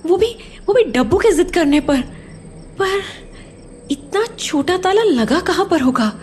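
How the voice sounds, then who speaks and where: A woman's voice: extremely high pitch, lively intonation, measured pace, darkish tone, a little hiss or hum. A woman playing an anxious, loving young mother in an audio horror drama, speaking hesitantly and repeating her words with emotion. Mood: puzzled, searching.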